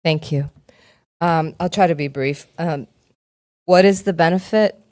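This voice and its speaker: A Cuban-born woman with a fairly low, smooth voice addresses a city workshop as vice mayor. Her delivery is measured, and the sound is dry and close. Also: darkish tone, no echo. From Cuba. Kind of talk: public meeting remarks.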